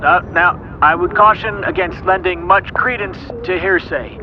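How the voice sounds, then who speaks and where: Police chief press statement, stiff and official, high for a man, dull noisy sound. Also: unhurried, over music, a crowd in the background. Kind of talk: scripted press-conference statement. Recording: dull sound, outdoor ambient noise.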